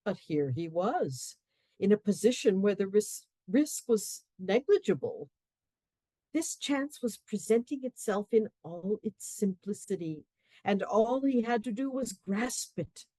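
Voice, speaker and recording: Woman, radio play narrator, mellow warm tone, dry close studio sound. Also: medium pitch, measured pace, no echo. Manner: expressive, wry storytelling with hushed asides.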